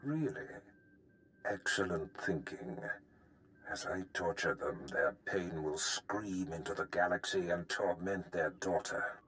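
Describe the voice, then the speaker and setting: An actor playing a sinister, scheming Sith master in a video game, with a deliberate, grandiose and formal delivery. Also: male voice, deep voice, animated, fairly bright tone, poor audio. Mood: gloating. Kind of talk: theatrical video game villain acting.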